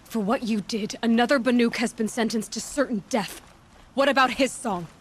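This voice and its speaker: A woman's voice: a little high, normal speed, a little hiss or hum, close to an everyday voice. Determined young huntress played by a woman. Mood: accusing.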